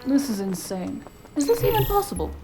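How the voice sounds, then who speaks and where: A woman's voice: high voice, wildly swooping pitch, brisk, music in the background, rough recording. A woman playing a blunt, disbelieving investigator in a noir audio drama. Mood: alarmed disbelief.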